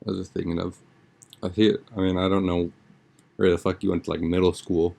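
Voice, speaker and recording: A man's voice: low voice, little pitch movement, fairly quick, vocal fry, a little mellow. A young man chatting casually as a podcast host, with an informal, slangy, rambling way of talking. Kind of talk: casual podcast chat, episode recap.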